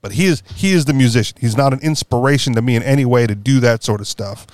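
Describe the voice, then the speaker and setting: A man's voice: medium pitch, quick pace, a little mellow, dry recording, studio quality. A man hosting a podcast in casual political chat, rambling and correcting himself with a wry tone. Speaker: podcast host.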